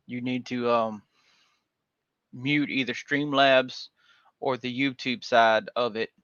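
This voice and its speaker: A man from Georgia hosts a livestream panel in a rambling, folksy way, leaning on "uh" and "um" between thoughts. His voice sits at an ordinary middle pitch and comes through dull and lo-fi. The audio is bone dry, with no room sound around it. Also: a bit bright, no echo. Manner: rambling, folksy, with frequent fillers. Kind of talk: casual livestream chat.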